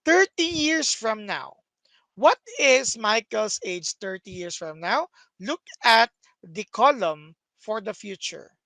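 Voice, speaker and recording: A man's voice: high-pitched, animated, slow, gravelly, sharp tone, no echo. A young man teaching a math lesson over a screen recording, explaining step by step with many "okay"s, recorded at a desk.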